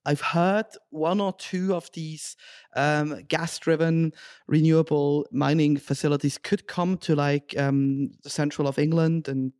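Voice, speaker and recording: Higher-voiced man, informal podcast chat. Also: steady tone, measured pace, a little mellow, clear, resonant tone, no echo, clean studio sound. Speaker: podcast co-host. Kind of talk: casual chat.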